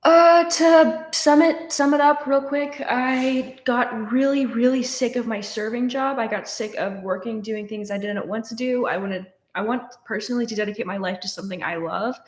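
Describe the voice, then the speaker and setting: Young woman, 19, relaxed live-stream Q&A host, chatty with filler words, roomy webcam sound. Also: medium pitch. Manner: casual, chatty, many fillers and restarts. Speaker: streamer answering chat questions. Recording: roomy echo.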